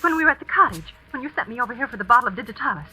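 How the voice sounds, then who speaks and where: An actress playing an earnest, flustered school staffer in a vintage radio drama, explaining in a hesitant, breathless way. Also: female voice, on the high side, lively intonation, racing, pushed and bright, slightly rough, exaggerated voice, low-quality recording. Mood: dawning realization. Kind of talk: 1940s radio suspense acting.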